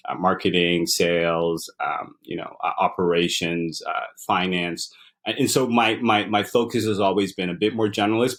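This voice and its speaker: A man in his forties from Uganda, a startup founder and author, talking in a relaxed, reflective way with many fillers and repeated words. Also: sits low. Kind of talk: relaxed interview conversation.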